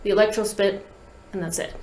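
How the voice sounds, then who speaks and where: A woman in her thirties talks as a guest on a podcast, in an easy, slangy, conversational way. Her voice sits at a medium pitch, and the studio room adds a noticeable echo around it. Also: fairly even intonation, a little fast, a little mellow. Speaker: guest, talk box musician. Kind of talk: casual interview chat, storytelling. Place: small podcast studio, indoors. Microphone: desk podcast microphone.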